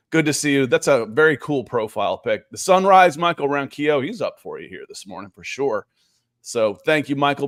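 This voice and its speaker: Male podcast host, chatty and animated, mid-pitched, dry close sound. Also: no room sound. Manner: chatty, animated, reads chat messages aloud. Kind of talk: casual sports podcast chat.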